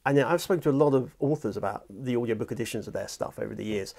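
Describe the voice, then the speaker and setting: Creaky-voiced British man interviewing. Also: mid-range voice, very fast, dark tone. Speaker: interviewer, audiobook producer. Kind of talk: casual one-on-one chat. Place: small furnished office, indoors.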